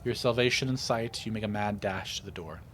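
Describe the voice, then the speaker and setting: A man's voice: fairly low pitch, fairly quick, fairly bright tone, slight background noise. A man reading a visual novel aloud as a let's player, narrating with casual asides. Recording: music playing behind the voice. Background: game music.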